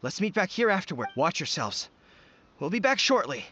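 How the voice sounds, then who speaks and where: A man's voice: high voice, very animated, normal speed, heavy background noise. An actor playing an earnest, dutiful young male army general in a video game, with formal military phrasing. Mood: earnest, relieved. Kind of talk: video game dialogue acting. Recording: muffled game audio under music.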